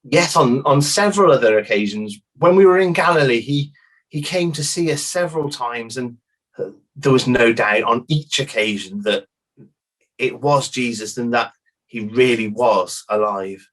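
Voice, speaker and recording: A man playing an earnest, convinced disciple giving halting testimony in a dramatized inquest. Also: mid-range voice, a bit bright, close to an everyday voice, poor audio. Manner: halting, earnest, repeating words.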